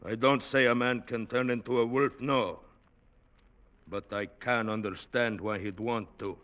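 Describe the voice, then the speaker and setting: Sharp, intense young peasant man, played by an actor. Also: medium pitch, fairly even intonation, a little slow, natural voice. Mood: quietly assured. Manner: intense, blunt. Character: defiant, brooding young peasant son, haunted former prisoner. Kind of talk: 1940s radio drama acting. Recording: dull vintage radio recording.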